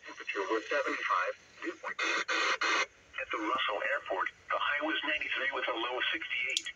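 A man's voice: medium pitch, moderate pace, a loud crowd. A man's voice reading a scripted weather report, heard through a radio speaker. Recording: noisy weather radio picked up in room.

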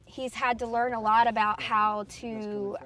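A woman's voice: on the high side, flat delivery, measured pace, fairly bright tone. A woman in her thirties from a farming family, interviewed outdoors on a lapel mic, talking conversationally with frequent "um" fillers. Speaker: farm family member. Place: outdoors in tall grass by trees.

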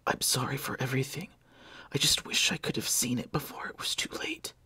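A man voicing a dying young man who speaks in a weak, breathy whisper, tender and remorseful. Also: high voice, very animated, normal speed, no echo, pitched above the performer's own voice, exaggerated voice. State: gasping, weak.

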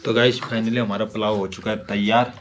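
A man's voice: lowish voice, flat delivery, fairly quick. A man, chatting playfully to viewers in a casual vlog. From Uttarakhand, India. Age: 20s. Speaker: vlogger. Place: village house kitchen and stone courtyard. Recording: music laid under the voice. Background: music.

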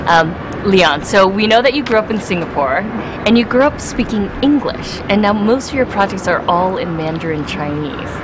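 A woman hosting a TV interview, asking brisk, upbeat questions outdoors. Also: medium pitch, animated. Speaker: host. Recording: clear traffic noise outdoors. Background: street traffic.

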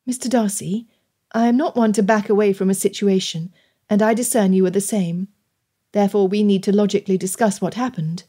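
A spirited young gentlewoman of a period romance as a woman narrator reads her. Her voice is mellow and mid-pitched. She talks with formal poise and a candid, teasing turn. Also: fairly even intonation, a little fast, lightly acted. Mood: matter-of-fact. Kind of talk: period romance audiobook dialogue.

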